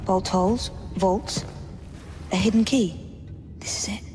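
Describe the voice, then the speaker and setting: A woman's voice: medium pitch, fairly even intonation, measured pace, a little mellow, a little hiss or hum, lightly acted. A woman playing a determined, bookish young Victorian assassin in a video game, composed and formal. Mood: intent, eager. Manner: composed, formal, dry, assured. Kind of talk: video game cutscene acting.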